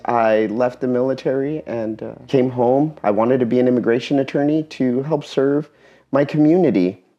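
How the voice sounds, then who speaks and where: Man, earnest, halting talk, pauses often, spanish first language, faint guitar behind. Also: mid-range voice, dark tone, dull audio. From Eastern Washington, USA. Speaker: speaker giving a talk. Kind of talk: personal talk.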